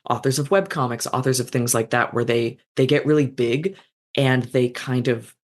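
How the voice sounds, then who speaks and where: A person in a casual podcast conversation who talks in an animated, outspoken way and swears now and then. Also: moderate pace. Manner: animated, swears, big jumps in pitch. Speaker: podcast participant.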